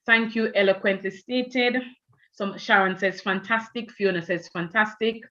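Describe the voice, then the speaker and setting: A woman's voice: mid-range voice, steady tone, a little slow, bright, forceful tone, muffled recording. A woman with a Jamaican accent hosting an online panel, talking loosely with many "you know" fillers. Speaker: event host.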